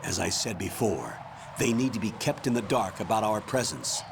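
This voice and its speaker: Stern, measured military commander, noisy audio. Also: male voice, lowish voice, expressive, gravelly. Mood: urgent, grave. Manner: measured, authoritative, formal. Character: stern middle-aged military commander briefing an agent by radio. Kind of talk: scripted video game voice acting.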